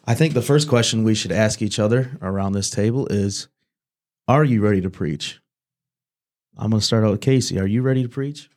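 A man's voice: lowish voice, fairly quick, darkish tone. A man in his twenties hosting a church podcast, speaking earnestly and praying aloud. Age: 20s. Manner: earnest, repeats "God" between phrases. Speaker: podcast host. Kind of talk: casual church podcast chat with opening prayer.

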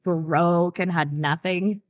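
A woman's voice: fairly low pitch, steady tone, slow, no echo, highs cut off. A young woman from the San Diego area, a podcast guest chatting with a friend, saying "like" a lot and laughing often. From San Diego area, USA. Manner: chatty, says "like" a lot, giggly. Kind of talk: casual chat with a friend.

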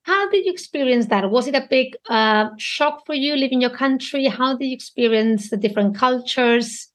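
Higher-voiced woman hosting an interview. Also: moderate pace. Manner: warm affirming, frequent "mm" and "yeah". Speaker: podcast host. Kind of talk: casual interview chat.

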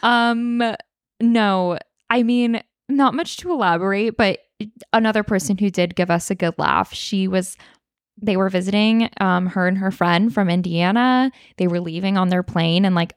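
A woman's voice: mid-range voice, unhurried, no echo, studio quality. A woman in her twenties co-hosting a podcast and telling a story in a casual chat. She fills her talk with "like" and laughs often. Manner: chatty, heavy use of "like", laughs often. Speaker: podcast co-host.

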